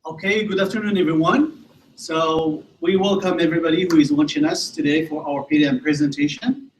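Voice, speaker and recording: A man from Morocco, a high school English teacher, opening a presentation to camera in a friendly, hesitant way with fillers. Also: animated, brisk, slight background noise. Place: room without audience. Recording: echoey room, faint ambience.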